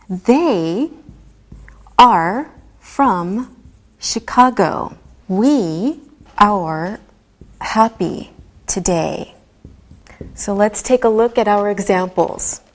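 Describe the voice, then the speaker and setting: A woman teaching a beginner English lesson, speaking deliberately and enunciating every word clearly. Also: mid-range voice, darkish tone. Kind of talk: slow, scripted ESL lesson delivery.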